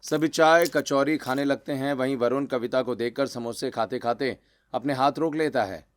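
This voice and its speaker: A man narrating a scripted family story for an animated video. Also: mid-range voice, moderate pace, faint music behind.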